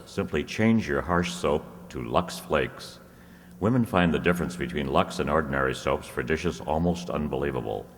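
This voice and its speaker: A man playing a vintage radio announcer, reading scripted copy in a measured, formal manner. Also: a bass voice. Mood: polished, persuasive. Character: smooth 1930s radio announcer selling soap. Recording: echoey hall, noisy audience room.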